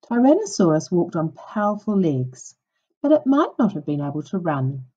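A woman primary teacher reading a non-fiction book aloud to young children, with clear, instructive phrasing. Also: on the low side, big pitch swings, normal speed, warm tone, highs cut off.

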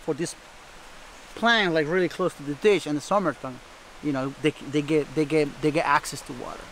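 A man's voice: a little high, fairly quick, a bit bright. A middle-aged man with a Costa Rican accent and Spanish as his first language, giving a casual farm tour in simple English, often repeating words. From Costa Rica. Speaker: farmer showing his homestead. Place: outdoors in a tropical food forest. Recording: outdoor farm ambience, faint noise.

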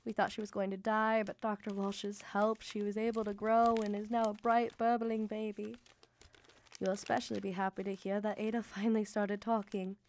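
A woman's voice: medium pitch, level tone, normal speed, darkish tone, a little hiss or hum, close to an everyday voice. An actress playing a devoted young Victorian mother, reading letters to her brother in an earnest, emotive way for a radio drama. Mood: tender, weary.

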